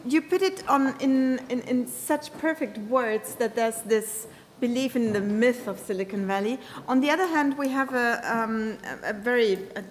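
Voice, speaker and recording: A woman moderates a conference panel, feeling her way into her questions with many "um"s and restarts. The sound carries a long hall reverb with a faint hiss underneath. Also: fairly high pitch. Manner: halting, many fillers and restarts while framing questions.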